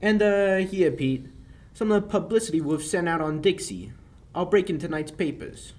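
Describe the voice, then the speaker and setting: A young man playing a smooth-talking crook in a 1940s radio play, breezy and sardonic with menace underneath. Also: high-pitched, lively intonation, normal speed, a little mellow. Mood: cocky. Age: young adult.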